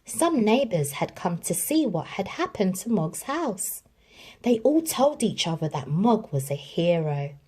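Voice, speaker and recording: A woman reading a children's story aloud in an expressive, dramatic storytelling manner. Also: medium pitch, a little slow, husky. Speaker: storybook reader.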